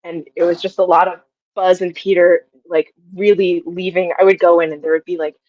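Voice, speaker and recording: A woman's voice: on the low side, flat delivery, brisk, a little mellow, muffled recording. A young woman chatting casually as an interview guest, effusive and given to telling things through quoted asides. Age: young adult.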